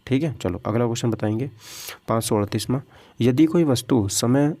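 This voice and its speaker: A man's voice: mid-range voice, normal speed, warm tone, no echo. A man teaching a lesson through practice questions, repeating himself and answering his own questions. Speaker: physics teacher for exam coaching.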